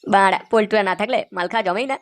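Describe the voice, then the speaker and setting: A man's voice: high-pitched, very fast, fairly bright tone. An actor voicing a boastful young wastrel son, a man in a crude cartoon, with slangy speech. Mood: smug, boastful. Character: cocky young wastrel son who pilfers money for booze. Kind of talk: crude comedy cartoon dub.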